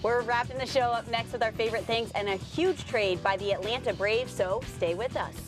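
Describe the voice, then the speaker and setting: A woman's voice: fairly high pitch, fairly quick. Woman co-hosting over faint music. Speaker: sports show co-host. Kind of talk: sports broadcast with casual banter.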